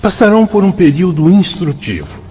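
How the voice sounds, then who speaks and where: An actor with a Brazilian accent playing a benevolent, learned spirit instructor in a radio drama, formal and measured in his teaching. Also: high-pitched, big pitch swings, dark tone. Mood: instructive, solemn. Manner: didactic, formal, measured oratory. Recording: dull lo-fi audio over music.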